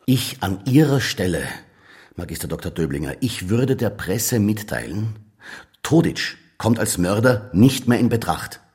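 An actor playing a gruff, middle-aged, world-weary police detective, muttering dry sarcasm in a radio crime drama. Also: male voice, fairly low pitch, expressive, measured pace, a little mellow, exaggerated voice. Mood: dry, insistent. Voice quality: raspy, gravelly.

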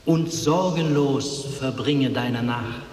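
An actor in a 1950s radio play voicing an unseen spirit that declaims solemnly and soothingly, with heavy reverb. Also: male voice, mid-range voice, takes it slowly, darkish tone. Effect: long reverb. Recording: echoing hall, distant from the mic.

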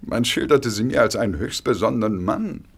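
An actor playing a suave, smug blackmailer in a radio drama, speaking with unctuous mock politeness and drawn-out hesitations. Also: male voice, mid-range voice, animated, creaky, slightly rough. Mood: cool threat. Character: suave, smug male blackmailer, oily and coolly menacing.

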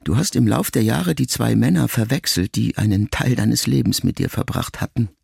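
An actor playing a grieving grown son, a writer, in an intimate, measured literary radio monologue addressed to his mother. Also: medium pitch, lively intonation, creaky, darkish tone, breathy edge, dry recording, clean studio sound, heavily acted. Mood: reflective, quietly reproachful. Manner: measured, reflective, intimate, with deliberate pauses.

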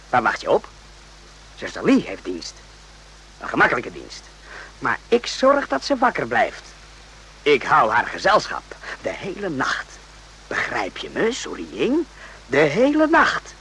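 An actor playing an uneasy, rough-spoken soldier on night watch in a radio play, agitated and often repeating himself. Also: male voice, a little high, sharp tone, a little hiss or hum, full character voice, poor audio.

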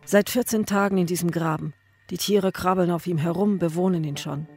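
A woman's voice: fairly low pitch, fairly even intonation, fairly quick, darkish tone, slightly rough, soft background music. An actress playing an anguished woman waiting for a missing man, in a literary radio drama monologue of stark, fragmented, incantatory phrases. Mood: brooding.

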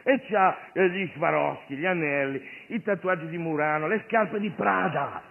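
A male comic actor delivering an animated, digressive satirical monologue on stage, addressing the audience and his sidekick. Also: on the high side, normal speed, vocal fry. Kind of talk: theatrical stand-up monologue with a sidekick. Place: theatre stage. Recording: noisy, narrow phone-like radio recording of stage show.

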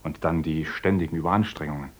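A man's voice: very deep voice, fairly even intonation, fast. An actor playing an idealistic young male teacher in a radio play, earnest and lecturing. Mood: fervent. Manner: earnest, lecturing, grows fervent. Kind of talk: radio drama acting.

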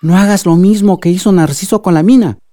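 An actor playing a wise, loving elderly village grandfather in a radio drama, with a Mexican accent and a measured, reflective way of advising. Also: high-pitched, mellow, dry recording, studio quality, lightly acted. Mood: grave, advising. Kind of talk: Spanish-language radio drama acting.

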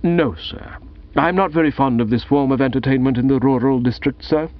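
A man's voice: medium pitch, a little fast, lo-fi sound, natural voice. Man playing an unruffled, formal English manservant. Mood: deferential, composed. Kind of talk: comic audiobook character voice.

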